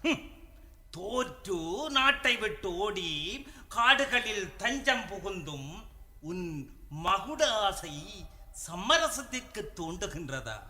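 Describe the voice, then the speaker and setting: Sneering accuser, high and piercing, slow theatrical taunts drenched in echo. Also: male voice, sing-song, bright, forceful tone, exaggerated voice. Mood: taunting. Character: mocking, accusing male voice taunting a defeated king. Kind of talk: theatrical radio drama acting. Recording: echoey, heavy hall reverb.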